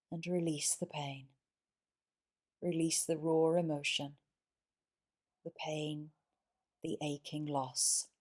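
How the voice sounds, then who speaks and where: A woman leading a guided yoga nidra meditation in a soothing, measured way, pausing between short phrases. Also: deep voice, darkish tone.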